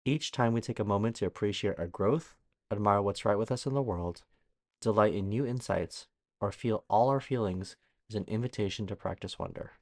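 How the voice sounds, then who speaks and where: A man's voice: on the low side, moderate pace, no room sound, studio quality. A man reading an inspirational daily reflection aloud as a guest reader.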